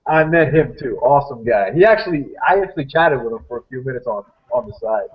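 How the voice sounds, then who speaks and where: A man's voice: mid-range voice, expressive, quick pace, slight background noise. A man in his thirties hosts a loose live-stream chat. He rambles, doubles back on his words and argues his view with conviction. His mid-range voice sounds dull and muffled, as if recorded on a lo-fi setup. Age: 30s. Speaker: host. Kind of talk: casual panel chat.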